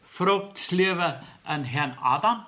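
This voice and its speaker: An actor playing a sly, deferential village court clerk in a radio play, with a southern German dialect and an insinuating manner. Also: male voice, high-pitched, expressive, takes it slowly, sharp tone, full character voice. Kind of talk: 1970s radio drama acting in dialect.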